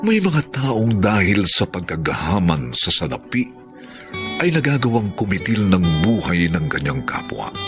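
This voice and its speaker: A man with a Filipino accent giving solemn, measured, dramatic narration as a radio drama announcer. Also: low voice. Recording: loud music bed under voice. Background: guitar music.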